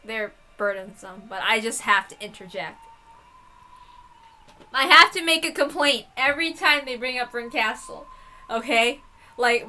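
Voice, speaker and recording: A woman with a high, bright voice reads a visual novel aloud as host. She tells the story expressively and speaks casually when commenting on it.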